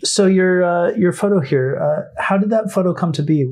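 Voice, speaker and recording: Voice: mid-range voice, normal speed, darkish tone. An interviewer asking brief, hesitant questions from off the mic.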